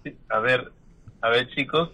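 A man's voice: medium pitch, a little slow, pushed and bright, husky, poor audio. A man, a priest joining a casual radio chat in a good-humored way. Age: 50s.

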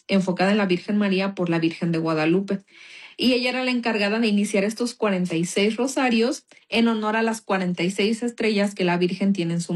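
A woman from Mexico with a Mexican accent gives an informal personal testimony in a conversational, earnest, explanatory manner. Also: mid-range voice. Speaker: speaker giving a religious testimony.

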